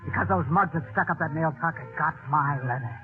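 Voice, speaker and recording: An actor playing a cocky, desperate killer narrating in slangy, hard-boiled 1940s radio noir style. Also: male voice, a little high, brisk, phone-quality sound, natural voice. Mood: triumphant. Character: cocky, desperate wife-killer convinced of his luck. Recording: old radio recording, thin band, music behind.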